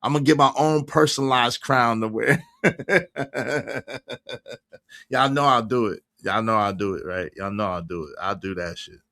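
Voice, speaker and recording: A man's voice: mid-range voice, measured pace, pushed and bright. A man around fifty hosting a casual livestream, chatting animatedly with viewers, laughing and reacting to their comments. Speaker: livestream host.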